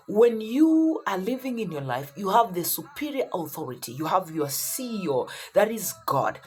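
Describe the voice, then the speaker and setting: Animated low-voiced woman preacher. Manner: emphatic, repeats phrases for effect. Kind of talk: motivational sermon.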